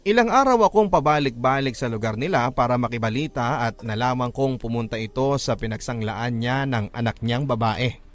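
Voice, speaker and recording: A man with a Filipino accent reads a first-person story in an emotive, earnest, classic radio drama narration style. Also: mid-range voice. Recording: narration over background music. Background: background music.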